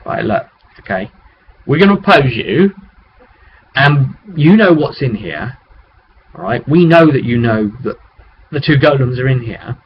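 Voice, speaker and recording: A man's voice: medium pitch, animated, a little slow, pauses often. A man voicing a wary hired mercenary in a tabletop game, bluntly warning adventurers off and negotiating, recorded on a webcam. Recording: dull webcam audio with background noise.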